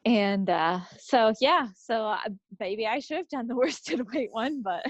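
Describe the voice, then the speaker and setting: A woman's voice: fairly high pitch, takes it slowly. A woman co-hosting a podcast in an easygoing, informal chat, on a slightly dull, roomy recording. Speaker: podcast co-host. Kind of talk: casual chat.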